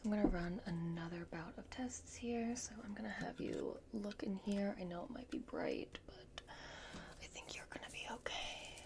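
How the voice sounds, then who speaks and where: A woman speaking in a soft, breathy whisper, gently guiding the listener through an ASMR roleplay as a repair technician. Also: medium pitch, sing-song. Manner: gentle, reassuring, unhurried guidance. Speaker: ASMR performer playing a robot repair technician.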